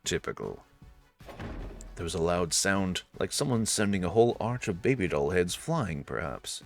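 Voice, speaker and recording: A man's voice: sits low, animated, normal speed. A man narrating a visual novel in a storytelling style. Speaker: narrator and let's-play reader. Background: faint music.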